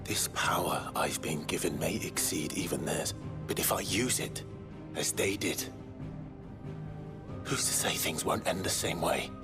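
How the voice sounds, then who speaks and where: An actor playing a grim, weary, brooding leader in a dramatic video game, speaking in a dry, measured way. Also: male voice, low voice, vocal fry, over music. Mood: brooding, grave. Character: grim, weary male leader haunted by power.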